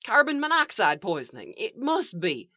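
A woman's voice: mid-range voice, wildly swooping pitch, moderate pace, sharp tone, pitched above the performer's own voice, exaggerated voice. Lady playing a scared guy stuck in a cave, shaky n pleading, story reading, echoey sound. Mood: desperate. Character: frightened trapped male caver, anxious and insistent. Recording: echoey, slightly dull home recording.

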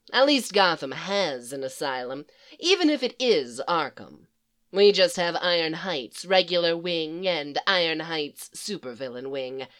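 Woman doing a patient, matter-of-fact psychiatrist. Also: on the low side. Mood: dry. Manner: matter-of-fact, even, unflappable. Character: calm, patient man, a literal-minded psychiatrist.

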